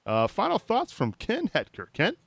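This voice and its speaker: A Midwestern man hosting a fan podcast, his pitch swinging up and down as he talks. He cracks jokes and keeps things moving. The recording is dry and close. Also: normal speed, gravelly, no echo. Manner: jokey, lively, wraps up segments. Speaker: podcast host. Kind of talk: casual chat.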